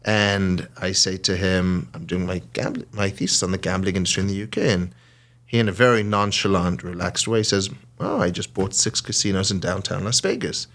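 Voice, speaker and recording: Deep-voiced British-accented man telling stories. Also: moderate pace, a little hiss or hum. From South Africa. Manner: animated, acts out quoted lines. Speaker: hotel and casino CEO. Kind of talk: conversational storytelling. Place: small podcast studio.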